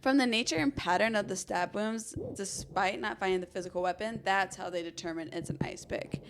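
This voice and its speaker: A woman's voice: medium pitch, a little fast, noisy background. A young American woman hosting a podcast, telling a true crime story in an informal, conversational way. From Arizona, United States. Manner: informal, chatty. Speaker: podcast host.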